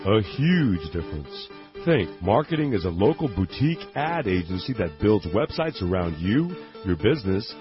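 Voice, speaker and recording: Lively male radio ad announcer. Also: on the low side. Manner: persuasive, emphatic. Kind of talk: scripted radio ad read. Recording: dull radio ad with music.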